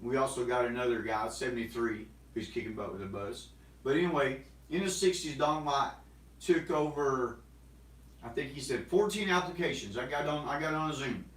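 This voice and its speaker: A man in his forties hosting a casual, energetic sales talk to camera, rambling with restarts. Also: mid-range voice, moderate pace, reverberant, recorded from a distance. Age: 40s. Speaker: host. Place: small studio room with banners and props.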